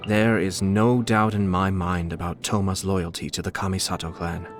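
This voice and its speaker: A man plays a refined young nobleman from a video game, the shrewd and suave head of his clan. His voice sits fairly low, and he speaks in measured, courtly, polished phrases. Soft music plays behind him. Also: level tone, natural voice. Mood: composed, earnest. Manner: polished, measured, formal diction. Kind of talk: scripted video game character dialogue. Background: background music.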